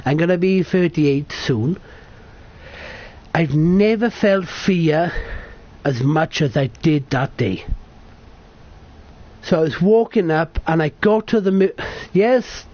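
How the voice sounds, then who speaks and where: British guy in his 30s, high voice, muffled lo-fi recording, chatty swearing storyteller. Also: expressive, takes it slowly, long pauses. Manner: sweary, self-correcting, chatty. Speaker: vlogger. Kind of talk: rambling casual storytelling. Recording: dull lo-fi sound.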